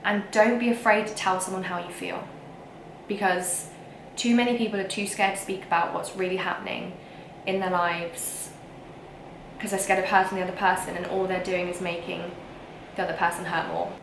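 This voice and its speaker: A woman's voice: on the low side, flat delivery, moderate pace, vocal fry, noisy background. Young woman, whiny voice, frank and emphatic vlog rant, echoey room. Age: young adult. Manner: emphatic and frank, with frequent fillers. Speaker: vlogger. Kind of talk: casual ranting to camera.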